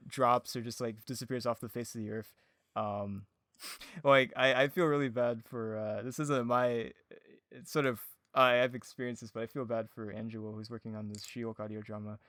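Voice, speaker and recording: A man's voice: mid-range voice, a bit bright, no echo, studio quality. A man chatting casually and telling anecdotes as a podcast guest, hesitant and often giggling through his words. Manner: hesitant, giggly, frequent laughter while talking. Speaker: podcast guest, audio drama producer.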